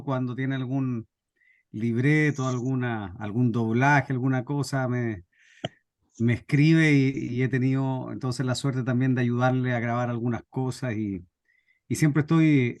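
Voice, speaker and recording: A man's voice: medium pitch, a little mellow, no room sound. A middle-aged Chilean man introducing himself to a group and telling anecdotes informally, with somewhat hesitant phrasing. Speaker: radio drama scriptwriter and workshop speaker.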